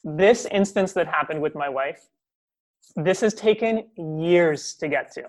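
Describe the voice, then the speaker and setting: A man's voice: fairly high pitch, a little slow, low-quality recording. Man explaining in a coaching tone. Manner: explanatory, coaching tone with many restarts and tag questions. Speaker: guest speaking on communication. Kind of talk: casual interview conversation.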